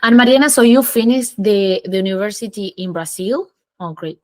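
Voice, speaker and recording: A woman's voice: mid-range voice, a little fast, a little mellow, no room sound. A woman with an Argentine Spanish accent hosting a podcast, chatty and encouraging in casual interview chat. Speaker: podcast host.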